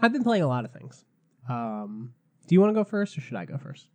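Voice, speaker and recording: A man hosting a casual gaming podcast, a little sick and raspy, chatty and loose, laughing often. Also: medium pitch, sing-song, brisk. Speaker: podcast host.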